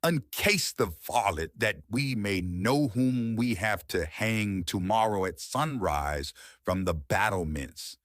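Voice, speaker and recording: A man voicing an outraged, imperious prince in a gothic tale, with a commanding, deliberate delivery. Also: on the low side, expressive, a bit bright, no echo, studio quality. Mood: angry, commanding. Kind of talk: dramatic gothic storytelling.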